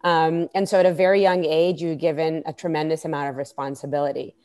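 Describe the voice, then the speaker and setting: A woman's voice: deep voice, level tone, quick pace, a little mellow. A woman in her thirties from Israel answering conversationally as an interview guest on a video call, with frequent hesitant fillers. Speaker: interview guest, startup founder. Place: indoor room, video call. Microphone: earphone mic on video call.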